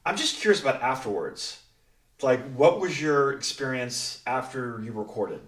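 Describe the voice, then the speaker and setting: A man's voice: medium pitch, lively intonation, moderate pace. A man hosting a casual round-table chat, talking in a self-deprecating way. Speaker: host and director. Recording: echoey room, distant from mic.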